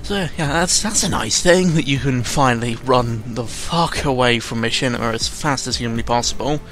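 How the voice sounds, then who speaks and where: A young man talks off the cuff in a mid-pitched voice, with music playing behind him. He rambles and stumbles, cutting himself off mid-thought. His delivery is dry, sarcastic and self-deprecating, with an exasperated edge. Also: a bit bright. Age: young adult. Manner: rambling, halting, full of self-interruptions. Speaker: YouTuber recording an audio log. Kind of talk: casual unscripted news commentary. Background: background music.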